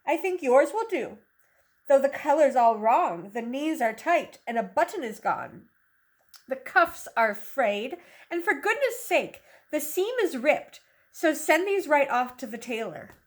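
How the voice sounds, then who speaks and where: A woman's voice: high-pitched, very animated, a little slow. A woman voicing a critical, demanding llama in a children's storybook, listing faults with emphatic fussiness. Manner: nitpicking, emphatic.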